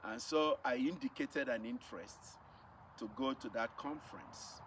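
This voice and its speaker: A man's voice: mid-range voice, measured pace. Middle aged nigerian guy telling his life story, repeats stuff for emphasis, mic kinda far away, bit of noise. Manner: emphatic, repeats phrases for effect. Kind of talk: personal testimony, storytelling to camera. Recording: distant mic with faint background noise.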